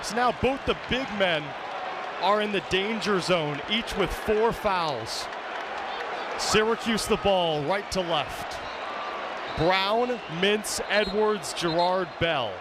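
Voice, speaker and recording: A man's voice: high voice, slow pace, over a noisy crowd, heavy background noise. A young man working as a sports broadcaster, commentating and presenting in a punchy, descriptive announcer's delivery. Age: young adult.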